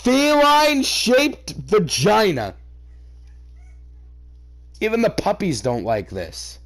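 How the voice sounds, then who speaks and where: Male comedic reader, highly animated pitch, theatrical delivery with profane, incredulous ranting asides. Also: slow. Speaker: host reading fan fiction aloud.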